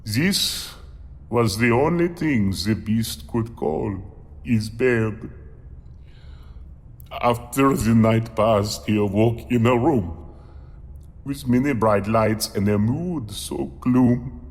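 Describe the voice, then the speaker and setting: A man playing a monstrous man-eater, reciting a grim rhyming memoir in a slow, theatrical, deliberate manner with long reverb. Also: medium pitch. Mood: mournful. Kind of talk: theatrical rhymed storytelling.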